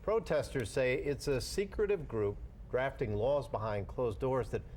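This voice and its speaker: A man's voice: mid-range voice, animated, measured pace, a little mellow, slight background noise. A man with an American accent reading a scripted news introduction as an anchor.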